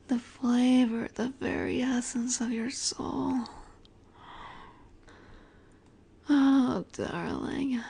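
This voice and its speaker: A woman playing a seductive, possessive vampire in an intimate roleplay audio drama, teasing and coaxing in a soft, breathy voice that often slips into whispers. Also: a little high, exaggerated voice. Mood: intoxicated, delighted.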